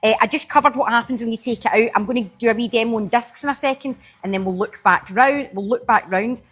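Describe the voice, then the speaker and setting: A woman's voice: on the high side, fast, fairly bright tone, slightly rough. A woman with a Glaswegian accent hosting a casual live-stream product demo, chatting and thanking viewers by name as she goes. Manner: chatty, answers viewers by name while demoing. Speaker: live-selling host.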